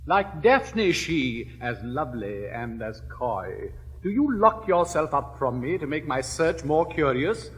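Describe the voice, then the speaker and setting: An English actor in his fifties playing an elegant, witty young gentleman suitor with measured, polished delivery. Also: fairly high pitch, big pitch swings, low-quality recording. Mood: courtly. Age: 50s. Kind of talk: 1960s classic stage recording.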